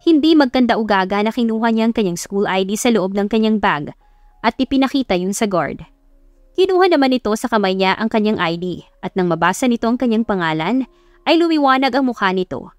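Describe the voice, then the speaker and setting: A woman's voice: a little high, lively intonation, fairly quick, no room sound. A woman with a Filipino accent reading a scripted story as an audiobook narrator.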